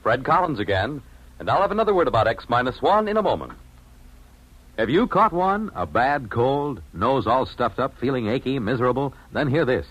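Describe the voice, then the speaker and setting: A man's voice: mid-range voice, big pitch swings, normal speed, fairly bright tone, husky, low-quality recording. A man announcing on vintage radio, reading a commercial in an emphatic 1950s sales style.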